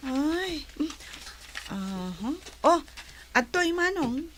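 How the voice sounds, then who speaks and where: A woman's voice: sits high, big pitch swings, very slow, slight background noise, heavily acted. A woman playing a coy, agreeable young village storekeeper in an Ilocano radio comedy drama. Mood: polite, obliging.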